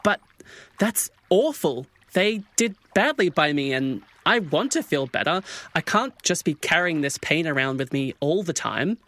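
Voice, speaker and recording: Insecure male swan, played by a man: awkward, self-deprecating, slightly high voice, dry recording, audio fairytale. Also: sing-song, unhurried, pushed and bright, dry recording, full character voice. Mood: upset. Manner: hesitant, awkward. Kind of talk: audio drama dialogue.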